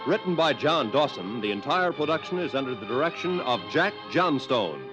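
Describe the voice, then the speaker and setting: Animated American male radio announcer over music. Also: mid-range voice, normal speed. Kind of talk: 1950s radio announcing, scripted. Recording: dull, band-limited old radio recording.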